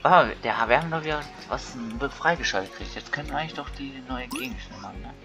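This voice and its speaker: Guy doing a chill let's play, just thinking out loud, normal pitched voice, music clearly playing behind him. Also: expressive, fast. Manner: colloquial, thinking aloud. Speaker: gaming video creator. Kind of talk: casual let's play commentary. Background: game music.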